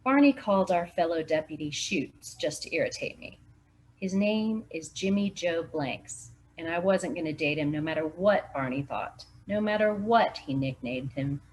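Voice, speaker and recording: A woman volunteer reading a mystery novel aloud in steady first-person narration. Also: low-pitched, moderate pace, slight background noise, rough recording. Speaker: volunteer reader.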